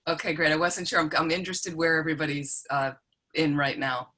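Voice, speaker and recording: A woman with a fairly low voice teaches an online dharma class. She talks informally and reassuringly, checking in with her listeners as she explains. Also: steady tone, fairly quick, sharp tone. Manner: conversational, reassuring, checks in with "okay?" and "right?". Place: online group video call.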